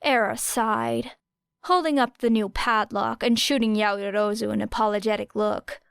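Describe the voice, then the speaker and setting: A woman's voice: medium pitch, fairly even intonation, moderate pace, vocal fry, clear, resonant tone, no room sound, studio quality. A woman reading fiction aloud as an audiobook narrator. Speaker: audiobook reader.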